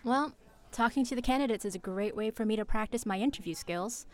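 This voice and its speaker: A woman's voice: a little high, brisk, darkish tone, natural voice. A woman voicing a young newcomer reporter, chipper and self-deprecating, in an audio drama. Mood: upbeat. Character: young woman reporter, new in town, upbeat. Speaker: journalist.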